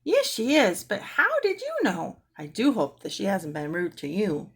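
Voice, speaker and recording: A woman's voice: medium pitch, huge pitch swings, moderate pace, slightly rough, full character voice. A woman voicing a fretful, exasperated mother in a storybook, with an emphatic, complaining manner. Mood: worried, upset.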